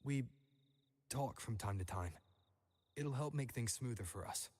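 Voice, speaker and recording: A man voicing an easygoing, courteous advisor in a fantasy game, with a husky voice. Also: mid-range voice, lively intonation, a little slow, darkish tone. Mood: calm, helpful. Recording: long reverberant hall echo.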